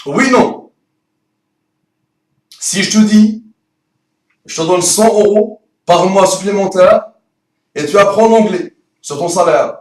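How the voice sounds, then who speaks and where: A man who speaks French as a second language, with an Arabic accent and rolled R's. He pitches an Arabic course in a playful, emphatic way full of rhetorical questions. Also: takes it slowly, pauses often, darkish tone, echoey room, far from the mic, rough recording. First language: not French. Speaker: Arabic teacher.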